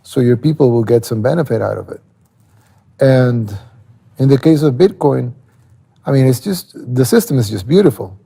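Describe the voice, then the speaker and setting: Male interviewee, native Spanish speaker with Salvadoran accent. Very soft, dark tone with heavy fry; halting, conversational explainer. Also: mid-range voice, animated. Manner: halting, repeats words while thinking.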